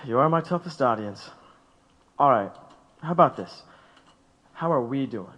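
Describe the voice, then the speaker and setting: An actor playing an earnest, loving young labor organizer in an audio drama. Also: male voice, medium pitch, animated, normal speed, a bit bright. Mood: fond, playful. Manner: earnest, fervent. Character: fervent, loving young male railroad strike organizer.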